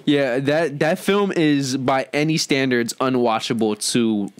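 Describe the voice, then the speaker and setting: A man's voice: medium pitch, measured pace, slight background noise. A man hosting a podcast, giving his opinions in casual, slangy discussion. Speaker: podcast host.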